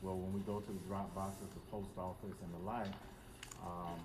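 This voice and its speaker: A man with a low voice lays out election procedures in a measured, step-by-step way. He sounds like an official briefing a group. Steady background noise runs under his speech. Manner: measured, methodical explanations. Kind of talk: explaining procedures. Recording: noisy room recording.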